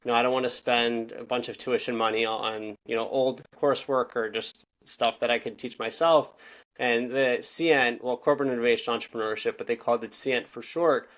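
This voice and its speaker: A man's voice: medium pitch, fairly even intonation, a bit bright. A young man in his twenties from Israel, chatting casually as a podcast guest, rambling and correcting himself as he goes. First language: Russian. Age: 20s. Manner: fast, rambling, self-correcting. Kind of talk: casual interview talk.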